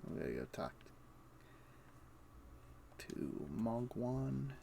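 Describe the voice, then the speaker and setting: A man's voice: medium pitch, big pitch swings, very slow, creaky, mellow, slight background noise. A man casually thinking aloud in short, muttered fragments as he streams his gameplay. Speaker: game streamer.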